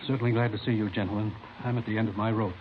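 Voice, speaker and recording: An actor playing a worried high school principal with an American accent, earnest and hesitant, in 1950s radio drama. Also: male voice, steady tone, rapid-fire, lightly acted. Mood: anxious. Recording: dull, hissy old radio recording.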